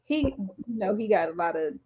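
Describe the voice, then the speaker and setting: A woman's voice: medium pitch, animated, normal speed, mellow, slightly rough. A woman co-hosting a podcast, chatting casually in a candid, wry, informal way. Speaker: podcast co-host. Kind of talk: casual chat.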